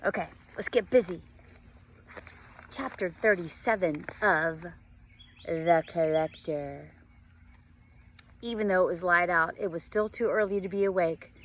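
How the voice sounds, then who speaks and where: A woman reading a storybook aloud in an expressive, animated manner, acting out the dialogue, recorded outdoors in a yard. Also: fairly low pitch, unhurried, pauses often. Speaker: teacher reading a book aloud. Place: outdoors in a garden bed in a yard.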